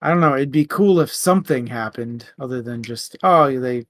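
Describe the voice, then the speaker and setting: A man co-hosting a casual book discussion, speaking in a tentative, halting way. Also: medium pitch, dry recording. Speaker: podcast co-host.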